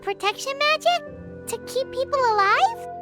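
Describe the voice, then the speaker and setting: Super high squeaky little fairy sidekick for a game, curious n candid, unhurried. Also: female voice, animated, music in the background. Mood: curious, doubtful. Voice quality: squeaky, childlike. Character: tiny childlike floating fairy companion, curious, candid. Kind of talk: video game voice acting.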